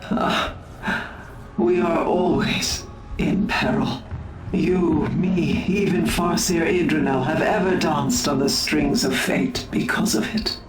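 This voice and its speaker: An actor playing a bitter, dying young alien warrior bent on vengeance in a game, solemn and dramatic. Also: male voice, on the high side, fairly even intonation, slow. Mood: bitter, weary resolve. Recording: echoey, music behind.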